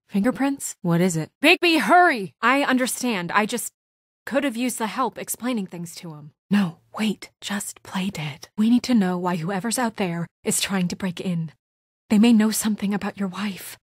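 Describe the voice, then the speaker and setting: A woman playing a composed but anxious, dutiful young office administrator in a naturalistic video game drama, speaking in a measured, guarded, earnest way. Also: mid-range voice, expressive, no echo, studio quality, lightly acted. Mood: urgent, pleading, then urgent whisper.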